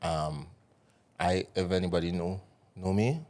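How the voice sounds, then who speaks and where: A man's voice: sits low, unhurried. A man from Trinidad with a Trinidadian accent, a soca artist talking casually and loosely in an interview. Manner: relaxed, rambling, Trinidadian Creole grammar.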